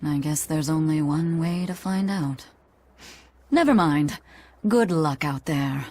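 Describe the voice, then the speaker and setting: A woman voicing a languid, world-weary female spirit scholar in a video game, with a dry, unhurried drawl. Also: low voice, expressive, warm tone, exaggerated voice. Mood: musing, aloof. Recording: music under the voice.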